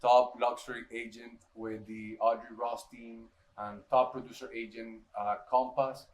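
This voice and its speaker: A man's voice: medium pitch, level tone, unhurried, a little hiss or hum, low-quality recording. A man hosting a podcast interview, upbeat and chatty, often restarting his phrases. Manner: enthusiastic, stumbling restarts. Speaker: podcast host.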